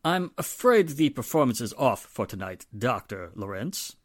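An actor playing a middle-aged, polite and hesitant wealthy father with a measured manner in a 1940s-style radio drama. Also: medium pitch, big pitch swings, no echo, studio quality. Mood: apologetic, placating.

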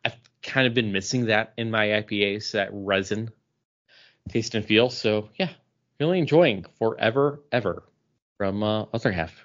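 A man co-hosting a podcast, chatting casually in an animated, rambling way. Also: fairly low pitch, normal speed. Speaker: podcast co-host. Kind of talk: casual pop culture and beer chat. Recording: dull, lo-fi podcast audio.

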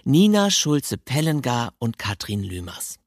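A man's voice: mid-range voice, big pitch swings, measured pace, dry recording, clean studio sound. A man hosting a chatty interview podcast, speaking informally and with enthusiasm. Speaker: podcast host. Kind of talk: chatty interview podcast talk about audiobooks. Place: recording studio in Hamburg. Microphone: close studio microphone.

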